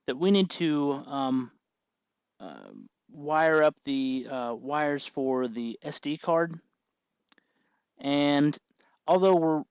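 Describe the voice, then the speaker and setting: A man's voice: medium pitch, darkish tone, clean tone, dry recording. Man talking through a hands-on tutorial, dry sound. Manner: hesitant, meandering, thinking aloud while working. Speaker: host of a DIY electronics tutorial. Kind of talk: informal hands-on tutorial commentary.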